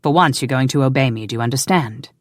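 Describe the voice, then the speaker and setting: Narrator as a stern, protective husband, mid-pitched. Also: fast talker, no room sound, clean studio sound, natural voice. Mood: firm. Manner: stern, sardonic, insistent. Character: protective, exasperated husband, commanding yet loving.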